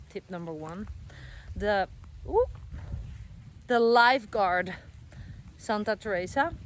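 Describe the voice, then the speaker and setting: A woman's voice: a little high, fairly bright tone. Woman, Dutch accent, middle-aged, casual vlog, outdoors, fillers and restarts, faint background noise. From Netherlands. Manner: chatty, self-correcting, many fillers. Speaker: vlogger. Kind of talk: casual talk to camera. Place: outdoors, garden with trees being planted.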